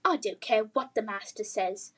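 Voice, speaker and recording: A woman's voice: mid-range voice, moderate pace, slightly rough, natural voice. A woman voicing a haughty, fussy talking dragon from a children's fantasy book, with a posh English accent and refined, scolding diction. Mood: disdainful. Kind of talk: children's fantasy read-aloud.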